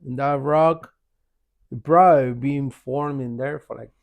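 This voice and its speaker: A man's voice: mid-range voice, steady tone, measured pace, vocal fry. A man from Argentina with an Argentine Spanish accent, talking as a podcast guest in a casual, emphatic, repetitive storytelling way. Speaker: podcast guest, author and shaman.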